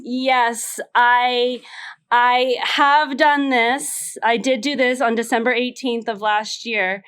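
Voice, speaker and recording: A woman casually telling a personal story as a panelist, stressing words in an animated way. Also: fairly high pitch, slow pace, clean tone. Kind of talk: casual anecdote on a comedy game podcast.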